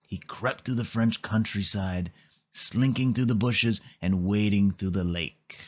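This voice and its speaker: A man's voice: lowish voice, measured pace. Male storybook narrator, slightly dull audio. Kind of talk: children's read-aloud scripted narration.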